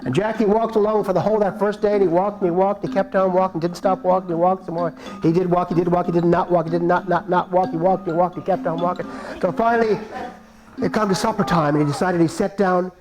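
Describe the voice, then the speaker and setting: High-voiced playful male storyteller. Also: fairly even intonation, fast, over music, low-quality recording. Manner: playful, repetitive, comic. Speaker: storyteller and puppeteer. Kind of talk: live folk-tale storytelling.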